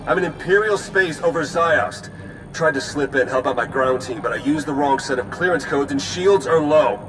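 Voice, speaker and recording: An actor playing an earnest, urgent spy pilot reporting trouble in a video game cutscene. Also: male voice, normal speed, lightly acted. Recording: noisy cockpit with engine rumble.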